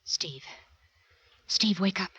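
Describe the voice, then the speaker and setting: A woman acting a nervous, wealthy middle-aged wife in 1940s radio drama, hesitant and fretful, with halting repetitions. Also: mid-range voice, pushed and bright, husky, no echo, full character voice. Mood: frightened. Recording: vintage 1940s radio, dull and dry.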